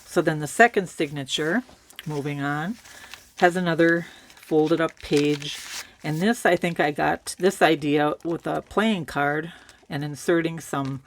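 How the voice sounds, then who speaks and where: A woman with a cold and a raspy voice, casually showing a handmade journal and rambling through it with fillers. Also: deep voice, slight background noise. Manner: relaxed, rambling, with many fillers and restarts. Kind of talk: casual show-and-tell.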